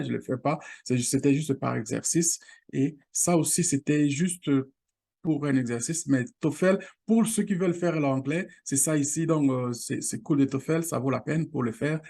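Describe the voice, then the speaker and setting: A man whose first language is Lingala, hosting a casual screen-share tutorial, halting and restarting often, speaking straight to his viewers. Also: on the high side, creaky, warm tone. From Congo region, Lingala first language. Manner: halting, with many restarts and fillers; direct appeals to viewers. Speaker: online course channel host.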